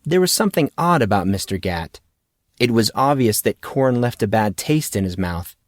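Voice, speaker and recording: A man's voice: mid-range voice, lively intonation, moderate pace, no room sound, clean studio sound. A man reading fiction aloud as a scripted audiobook narrator.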